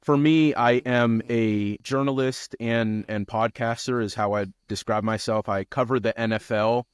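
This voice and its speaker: A man's voice: medium pitch, steady tone, measured pace, smooth, no room sound, clean studio sound. An American man in his late twenties from Seattle, talking about himself reflectively as a guest in a conversational interview. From Seattle, United States. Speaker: interview guest, journalist and podcaster. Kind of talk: conversational interview, personal account.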